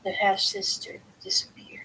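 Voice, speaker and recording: A woman reading a book aloud informally, in a halting way, sometimes stumbling over words and correcting herself. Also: bright, forceful tone, in a whisper, poor audio. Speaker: reader of a book aloud, video host. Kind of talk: informal read-aloud of a self-help philosophy book.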